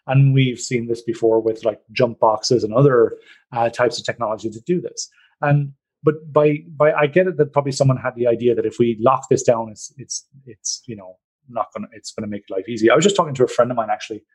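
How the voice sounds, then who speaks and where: A man's voice: medium pitch, fairly quick, slightly rough, low-quality recording. A man with an Irish accent hosting a podcast in a rambling, joking, chatty way. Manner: rambling, chatty, jokey storyteller. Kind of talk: casual podcast chat.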